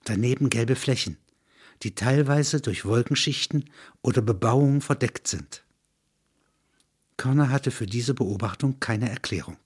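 A man's voice: mid-range voice, measured pace, husky. A man reading literary narration like a documentary report, sober and matter-of-fact.

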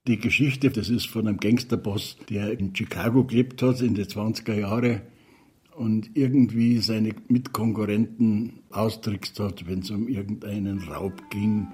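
A man's voice: on the low side, fairly even intonation, a little slow, darkish tone, husky, faint music behind. An older man, a retired sound engineer, reminiscing in an interview with loose, nostalgic storytelling.

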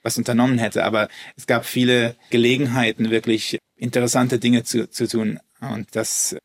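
A man in his thirties speaks as an interview guest with a gravelly, creaky edge to an otherwise middle-pitched voice. He talks in a loose, hesitant way, restarting sentences and reaching for words as he thinks aloud. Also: level tone, moderate pace. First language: German. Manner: hesitant, many restarts and fillers. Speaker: guest violinist. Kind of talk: casual interview talk.

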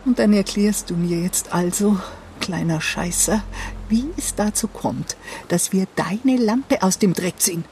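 Woman playing a sharp, sardonic female investigator. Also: mid-range voice, measured pace, slight background noise, natural voice. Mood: sardonic, threatening. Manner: sardonic, condescending. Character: sharp, menacing female police investigator interrogating a suspect. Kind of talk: radio drama acting.

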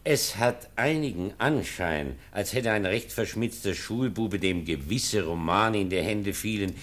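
An actor playing a sceptical, stern aristocratic baron in a German period radio play, with dry, formal, measured speech. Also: male voice, fairly low pitch, animated, a bit bright. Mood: dry, ironic.

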